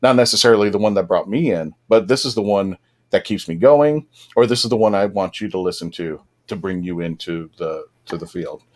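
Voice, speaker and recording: Male podcast host, lowish voice, chatty and enthusiastic fan-panel talk. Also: a little fast. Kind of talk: casual fan panel discussion.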